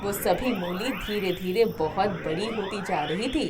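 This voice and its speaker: A woman's voice: medium pitch, measured pace, crowd noise. A woman narrating a scripted moral story as a storyteller.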